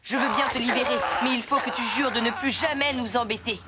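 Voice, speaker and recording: A woman's voice: a little high, steady tone, brisk, very noisy, close to an everyday voice. A woman voicing a clever, kind young boy hero in a cartoon, earnest and persuasive, with music playing. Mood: firm, calm. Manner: clear, earnest, coaxing. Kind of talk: animated cartoon acting. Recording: loud music under the voice.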